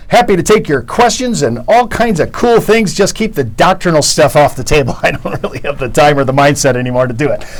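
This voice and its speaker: High, lively enthusiastic male host. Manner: enthusiastic, rambling, repeats words.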